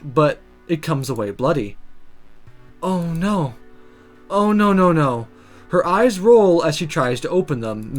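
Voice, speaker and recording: A man reading visual novel text aloud as dramatic, expressive first-person story narration. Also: fairly high pitch.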